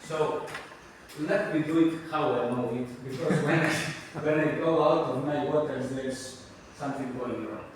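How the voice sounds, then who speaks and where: A middle-aged man with a Greek accent giving an unscripted university conference talk, halting with many fillers and restarts and a dry self-deprecating humor. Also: on the high side, fairly even intonation, a little hiss or hum. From Greece. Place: large university lecture hall. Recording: echoey lecture hall recorded from far off.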